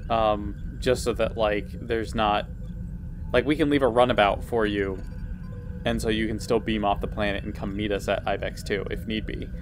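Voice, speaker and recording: A man's voice: lowish voice, steady tone, moderate pace, over music. A man playing a tabletop game, questioning the rules and building his case step by step. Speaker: player.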